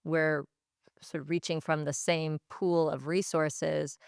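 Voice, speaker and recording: A woman with a low voice that stays clear and resonant. She talks reflectively, like an educator being interviewed, working through her thoughts in repeated, restarted phrases. The sound is close and dry. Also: flat delivery, takes it slowly, no room sound. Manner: thoughtful, stop-and-start phrasing with repetition. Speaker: teacher and language department head, podcast guest.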